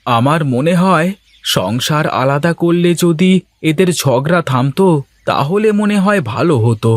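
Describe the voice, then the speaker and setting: A man's voice: on the high side, expressive, measured pace, dry recording. A man playing a weary village husband and son in a cartoon, with an earnest, plaintive way of talking. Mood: weary, troubled. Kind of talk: Bengali cartoon dialogue acting.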